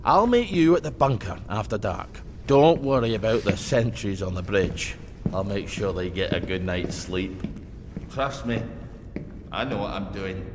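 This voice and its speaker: Unstable old soldier, gruff, slow, erratic swings, game drama. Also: male voice, on the low side, lively intonation, background noise. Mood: scheming. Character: unstable older soldierly man talking to an imaginary woman. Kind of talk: video game voice acting.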